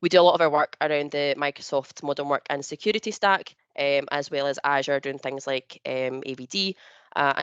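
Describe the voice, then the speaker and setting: A woman's voice: rumbling low, clear, resonant tone, no room sound, muffled recording. A woman hosting a business webinar, speaking with many fillers and restarts over a video call. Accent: not local to the northeast of England. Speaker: webinar host and partner manager.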